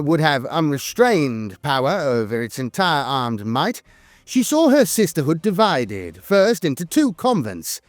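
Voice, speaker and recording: Guy reading epic lore narration, solemn and theatrical, pitch swings a lot, really dry close sound. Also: darkish tone, no echo. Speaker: narrator and host of a lore channel. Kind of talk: scripted dramatic lore narration.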